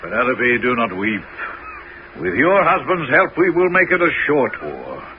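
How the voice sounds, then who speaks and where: An actor playing a forceful Greek king bent on war in a 1970s radio drama. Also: medium pitch, very animated, a little slow. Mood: insistent. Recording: dull, faintly noisy old radio recording.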